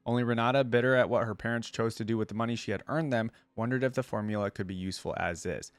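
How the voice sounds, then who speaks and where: A man's voice: medium pitch, fairly even intonation, fast talker, no echo. Casual male reaction host, close dry sound. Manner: thinks aloud with frequent tag questions. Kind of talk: casual reaction commentary mixed with reading aloud.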